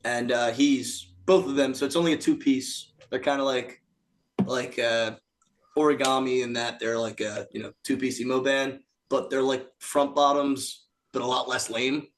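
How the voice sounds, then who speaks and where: A raspy-voiced man from a band, joking and swearing in casual podcast chat, often acting out what people said. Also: medium pitch, fairly even intonation, moderate pace. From Philadelphia area. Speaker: band member guest.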